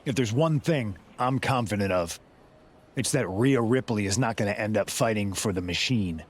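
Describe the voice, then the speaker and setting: A man's voice: on the low side, normal speed, close to an everyday voice. A man playing a persuasive rebel wrestler in a video game cutscene, earnest and knowing as he presses his case. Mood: persuasive, intense. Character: persuasive male rebel wrestler leading a mutiny.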